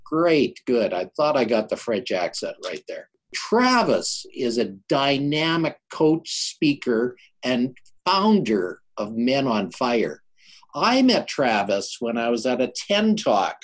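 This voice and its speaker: An older man hosting a show, introducing and interviewing his guest with emphatic, deliberate phrasing. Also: medium pitch, very animated. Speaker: show host.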